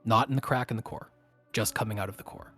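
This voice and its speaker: Male game master, lowish pitch, improvised narration, halting descriptive delivery, music in the background. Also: steady tone, pushed and bright, breathy edge.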